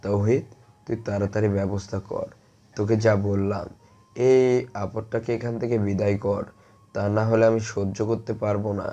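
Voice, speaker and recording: Troubled scolding father played by a man, middle-aged, low and dark, audio story. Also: flat delivery, moderate pace, natural voice. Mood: anguished.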